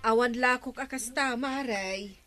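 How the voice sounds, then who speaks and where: An actress playing a gossipy, teasing village neighbour in a radio comedy drama, with an Ilocano accent. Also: female voice, fairly high pitch, unhurried, bright, forceful tone.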